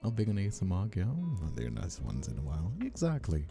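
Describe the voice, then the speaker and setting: A soft-spoken man chatting casually on a livestream as the host, talking in a hesitant, rambling, reassuring way close to the mic. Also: lowish voice, big pitch swings, fast, vocal fry. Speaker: streamer and ASMR voice actor hosting a live stream. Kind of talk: casual livestream chat with ASMR calm. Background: soft instrumental music.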